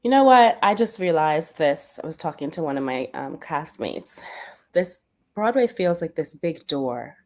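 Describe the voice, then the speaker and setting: Woman, Bermudian accent, low-pitched, animated, fillers, interview guest. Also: unhurried. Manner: animated, searching for words with many fillers. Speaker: guest, Broadway performer. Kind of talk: casual interview talk. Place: lives in New York.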